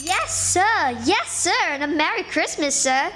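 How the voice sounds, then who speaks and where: A woman's voice: extremely high pitch, very animated, unhurried, pushed and bright, full character voice. A woman playing a polite, perky, eager young street girl in a live radio play. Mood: eager, chirpy. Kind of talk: live radio theatre acting. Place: stage before a live audience.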